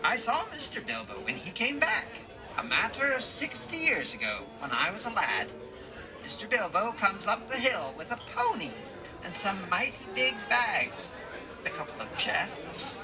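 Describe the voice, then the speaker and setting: Lively, folksy old hobbit gardener. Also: male voice, high voice, moderate pace. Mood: folksy, defensive. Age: older. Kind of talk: audio drama acting. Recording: music and crowd murmur behind.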